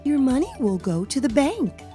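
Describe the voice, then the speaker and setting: A woman voicing a calm, reassuring mother who explains things gently and patiently in a children's storybook. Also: sits high, normal speed, faint music behind, pitched above the performer's own voice. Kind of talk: children's storybook character reading.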